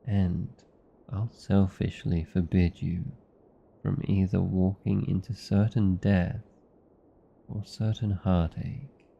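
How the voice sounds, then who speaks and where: A British man playing a protective, anxious vampire lover in a romantic ASMR roleplay, speaking formally and earnestly with deliberate pauses. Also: deep voice, flat delivery, gravelly, warm tone, husky, highs cut off, full character voice. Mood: tender, grave. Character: protective, anxious old vampire lover, tender yet arrogant.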